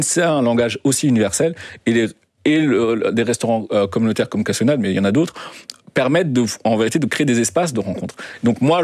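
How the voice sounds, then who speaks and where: A young man speaks as a radio interview guest. His voice sits at a medium pitch and is recorded dry and close. He talks conversationally, often hesitating and repeating words as he feels his way to a phrase. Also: darkish tone, dry recording. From Belgium. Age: young adult. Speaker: interview guest, documentary maker.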